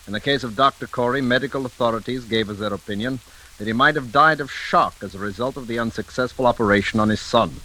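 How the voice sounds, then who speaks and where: Brisk radio-play newsman male actor, 1940s bulletin style: sharp, forceful tone, formal and matter-of-fact. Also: medium pitch, natural voice, rough recording. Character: brisk, impersonal male newsman reading a grim report. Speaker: news reporter in a radio play.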